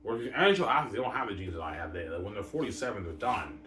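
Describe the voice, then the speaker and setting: Deep-voiced man, rambling in an echoey room. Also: very animated, racing, sharp tone. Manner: repetitive, boastful, emphatic bursts trailing off. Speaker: vlogger. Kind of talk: rambling casual hockey talk. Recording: roomy, distant mic, faint noise.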